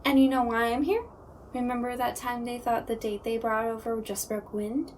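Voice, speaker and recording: A man's voice: very high voice, flat delivery, moderate pace, a little hiss or hum. A man playing a silly, wisecracking ghost prankster in a comedic audio roleplay, with a goofy, singsong, playful delivery. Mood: cheeky.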